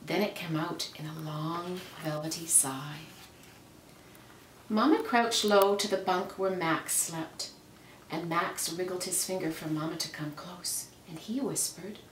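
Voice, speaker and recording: A woman reads a children's storybook aloud in a fairly low voice, shaping phrases with care and drama. The recording is roomy and distant, with faint noise behind her. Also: unhurried. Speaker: reader reading aloud. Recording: roomy, noisy, distant microphone.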